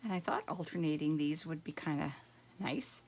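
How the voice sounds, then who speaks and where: A middle-aged woman with a low, gravelly, croaky voice walks through a card-making project in a loose, rambling manner. She thinks aloud, pausing to mull things over as she works. A faint hiss of noise sits behind her in a home craft room. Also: darkish tone. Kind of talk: casual craft tutorial.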